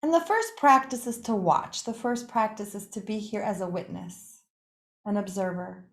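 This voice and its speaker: Echoey woman guiding a meditation. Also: mid-range voice. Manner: unhurried, gently inviting, with pauses between short phrases. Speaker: yoga nidra meditation teacher. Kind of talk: guided meditation. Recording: echoey, roomy sound.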